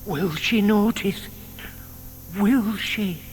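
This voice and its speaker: An actor playing a shy, lovestruck older British gentleman in an audio drama, polite, old-fashioned and eager. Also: high voice, very slow, a little hiss or hum. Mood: gleeful anticipation.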